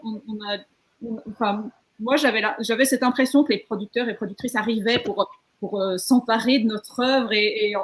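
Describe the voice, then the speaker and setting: A woman's voice: mid-range voice, breathy edge, rough recording. A woman author recounting her experience in a panel discussion, repeating words as she searches for phrasing. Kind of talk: panel discussion, personal account.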